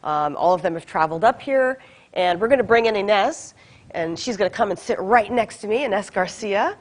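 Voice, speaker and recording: A woman's voice: mid-range voice, lively intonation, a little fast. A woman hosting a live studio interview show, welcoming guests and prompting viewers to comment. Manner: upbeat, inviting viewer comments. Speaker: show host.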